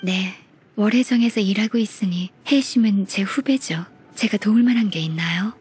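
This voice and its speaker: A woman voicing a gentle, modest, dutiful secretary in a video game, speaking softly and formally. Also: medium pitch, moderate pace, a little hiss or hum, lightly acted. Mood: polite, earnest. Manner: polite, soft-spoken, formal. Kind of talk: Korean video game voice acting.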